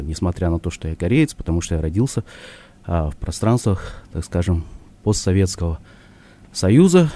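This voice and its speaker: Male radio guest, low mellow voice, thoughtful and hesitant with frequent pauses, studio mic. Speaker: studio guest, ensemble artistic director. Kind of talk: live radio interview answers. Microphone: studio microphone.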